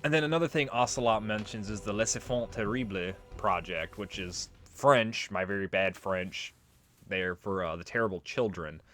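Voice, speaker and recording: A man's voice: fairly low pitch, normal speed, fairly bright tone. A man giving casual let's play commentary, explaining game lore in a conversational, rambling way. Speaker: let's player. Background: game music and audio.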